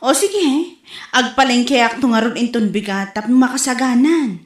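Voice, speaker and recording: A woman's voice: on the high side, animated, normal speed, natural voice. A woman playing a hardworking, patient younger sister and young family's mother, speaking earnestly in a comedy audio drama. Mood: agreeable. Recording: echoey, roomy sound.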